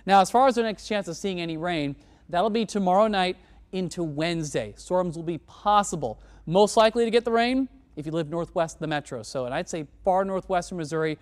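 A man in his thirties presenting a TV weather forecast in a studio, talking in a relaxed, conversational way on a lapel mic. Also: high voice, brisk, clear, resonant tone. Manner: relaxed, conversational delivery with casual words. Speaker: TV meteorologist. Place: TV studio in front of weather graphics.

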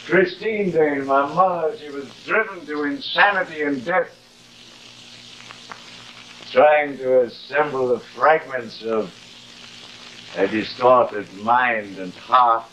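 An actor playing a tormented, grandly bitter middle-aged stage actor, declaiming dramatically in the style of old live television melodrama. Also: male voice, medium pitch, slow, pauses often, fairly bright tone, dull audio, slight background noise. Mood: bitter, grandiose.

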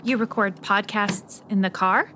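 A woman's voice: medium pitch, fairly even intonation, measured pace, bright, forceful tone, a little hiss or hum. A woman playing an impatient, sarcastic newspaper reporter in an old-time noir radio drama. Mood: exasperated.

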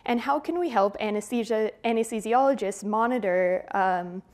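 A young woman in her twenties, a PhD student, giving a clear, measured research talk at a podium in a lecture hall. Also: fairly high pitch, darkish tone. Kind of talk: conference talk. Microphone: podium microphone.

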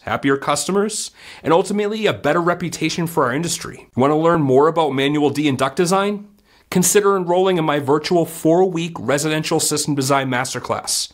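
A man's voice: a little high, brisk. A man in his forties hosting a scripted explainer vlog to camera from a home studio, in a punchy, emphatic presenter style. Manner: upbeat, punchy, emphatic presenter delivery with hand gestures. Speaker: HVAC educator and channel host. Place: home studio room, indoors.

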